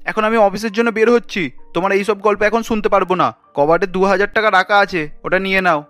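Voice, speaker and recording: A man's voice: sits high, fairly even intonation, a little fast, a bit bright, no room sound, faint music behind. An actor voicing an irritable, demanding husband and father in a cartoon, curt and sarcastic.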